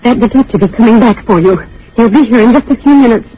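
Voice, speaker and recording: Anxious high-voiced lodger, old radio. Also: female voice, fast, slightly rough. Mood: tense, curious. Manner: urgent, hesitant. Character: anxious, insistent female lodger warning a suspect. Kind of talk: 1940s radio acting. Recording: muffled, noisy old radio recording.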